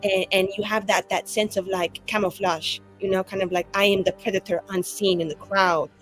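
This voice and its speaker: A woman's voice: medium pitch, fairly even intonation, moderate pace, faint music behind, pitched below the performer's own voice, natural voice, low-quality recording. A woman playing a tipsy, cocky, suspicious drinker in an improvised tabletop game, rambling in a theatrical, teasing way.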